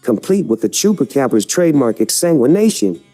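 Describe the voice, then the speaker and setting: A man's voice: mid-range voice, sing-song, a little fast, dark tone, soft background music. A man giving a scripted, dramatic reading of an article. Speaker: article reader.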